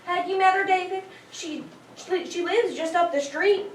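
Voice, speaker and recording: A woman's voice: extremely high pitch, normal speed, a little hiss or hum. A woman playing a sweet, chatty, neighborly hostess in a comedic staged play reading. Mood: friendly. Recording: echoey room, distant mic.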